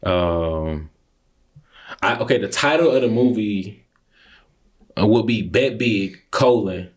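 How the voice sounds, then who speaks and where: Male, 20s, Detroit accent, lower voice, casual podcast guest with slangy, expressive delivery. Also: slow, husky. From Detroit, United States. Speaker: podcast guest, community relations consultant. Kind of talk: casual conversational interview.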